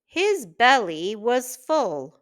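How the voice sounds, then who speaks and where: Very slow, lively woman reading to children. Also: a little high. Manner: deliberate, word-by-word phrasing for early readers. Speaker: children's story reader, reading teacher. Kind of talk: slow read-aloud children's storytelling.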